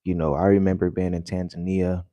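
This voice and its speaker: A man fresh out of bed talks loosely to his viewers, his voice low and heavy with the morning. The tone is mellow and unhurried, full of ums and restarts. The sound is close and dry with no room around it. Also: flat delivery, no echo, muffled recording. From United States. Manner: rambling, loose and conversational with many fillers. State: just woke up, voice deeper than usual. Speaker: vlogger. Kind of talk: casual vlog talk to viewers. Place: home in the early morning.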